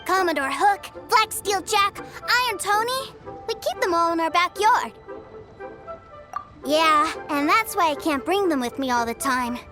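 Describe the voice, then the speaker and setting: A woman voicing an eager, naive little boy in a game, curious, wide-eyed and excitable. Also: very high voice, a little slow, music in the background. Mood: thoughtful, innocent. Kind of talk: animated game voice acting.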